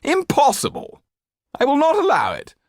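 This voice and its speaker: A man's voice: very high-pitched, huge pitch swings, normal speed, no room sound, studio quality, pitched above the performer's own voice, heavily acted. A man voicing a callous, pompous French marquis in an audiobook, speaking with drawling disdain and dismissiveness. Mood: indignant.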